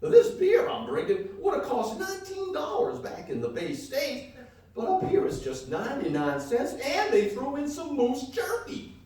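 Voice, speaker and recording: Guy doing a folksy country reporter for radio comedy, rambly, really swingy pitch, big echoey room. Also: huge pitch swings, moderate pace, a little mellow, full character voice. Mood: folksy enthusiasm. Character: Bud, folksy rambling male rural travel reporter. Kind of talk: live comedy radio play. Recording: echoey live hall, distant mic.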